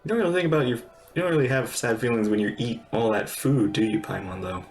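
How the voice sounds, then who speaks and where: A woman's voice: very deep voice, fast talker, a little mellow. A woman giving casual, chatty reaction commentary as she streams a game. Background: faint game music.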